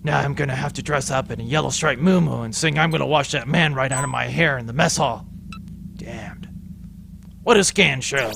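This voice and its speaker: A man acts out a dutiful, sardonic commander aboard a pirate starship. His delivery is brisk, military and dry, with a gravelly fry in an audio drama style. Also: medium pitch, noisy background. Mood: wry annoyance. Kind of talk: space adventure audio drama acting.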